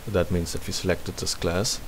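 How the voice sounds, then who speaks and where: A man's voice: sits low, steady tone, normal speed, music in the background. A man hosting a game tutorial, thinking aloud in a casual commentary as he works. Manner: thinking aloud while working. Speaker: tutorial host. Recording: faint background hiss.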